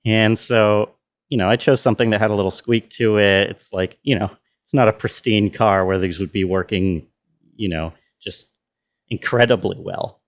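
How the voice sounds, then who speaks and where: A man's voice: lowish voice, steady tone, moderate pace. A man giving an informal walkthrough as a sound designer, thinking aloud as he explains. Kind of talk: casual tutorial walkthrough.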